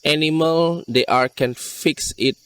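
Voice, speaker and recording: A man from Borneo with an Indonesian accent, giving earnest, halting interview answers in non-native English. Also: fairly high pitch, a bit bright, clear, resonant tone, recorded from a distance. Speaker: conservation group leader being interviewed.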